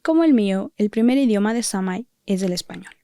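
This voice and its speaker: A woman journalist hosting a podcast, giving measured, reflective scripted narration. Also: medium pitch, lively intonation, warm tone, dry recording. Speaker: journalist host.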